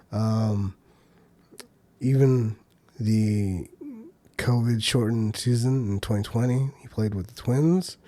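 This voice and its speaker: A man hosts a solo podcast with a loose, rambling delivery full of ums and uhs and little hedging asides. His voice is low and mellow. The sound is dry and close. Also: no room sound. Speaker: podcast host. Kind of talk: solo casual commentary.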